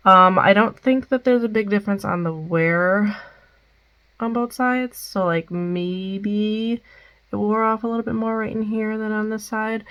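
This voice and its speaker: A woman's voice: mid-range voice, a bit bright. A young woman with a Midwestern American accent and a stuffed-up nose, chatting casually through a makeup wear-test vlog with many ums. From Midwestern United States. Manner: chatty, many ums, reads product details. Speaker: beauty vlogger.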